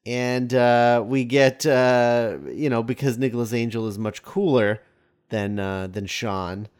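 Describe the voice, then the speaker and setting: A man hosting a podcast, talking casually about film in a halting, hesitant way. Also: medium pitch, steady tone, a little mellow, smooth. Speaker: podcast host.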